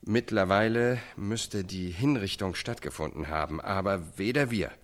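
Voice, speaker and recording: Animated, earnest news anchor. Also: male voice, fairly low pitch. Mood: grave. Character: earnest, theatrical news anchor of a reality show. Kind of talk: radio drama news presenting.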